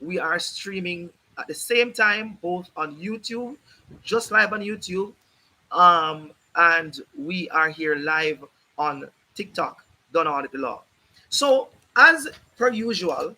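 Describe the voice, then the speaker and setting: A hoarse man hosting a livestream, explaining a court ruling in an emphatic, repetitive, lecturing way while addressing his viewers directly. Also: sits high, sharp tone, poor audio. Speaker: host of a legal rights livestream. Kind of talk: livestreamed legal commentary.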